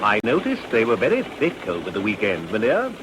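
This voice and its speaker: Scheming, fond upper-class husband for period drama, highly inflected delivery over clear background noise. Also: male voice, wildly swooping pitch, a little fast. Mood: conspiratorial.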